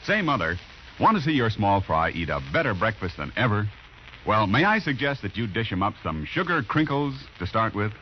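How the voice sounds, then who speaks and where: A man's voice: fairly low pitch, sing-song, moderate pace, a little hiss or hum. A male radio announcer in a polished, upbeat 1950s style, reading copy on an old radio recording. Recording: dull vintage radio recording. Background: music.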